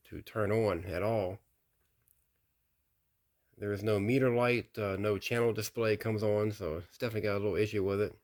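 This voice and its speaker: Low-pitched man casually reviewing gear. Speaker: radio hobbyist presenting a video. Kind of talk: casual product review narration.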